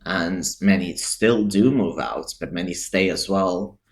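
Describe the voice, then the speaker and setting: A man's voice: low-pitched, unhurried. A man who grew up in Eastern Europe, giving casual, reflective opinion commentary and thinking aloud. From Eastern Europe, Lithuania and Ukraine.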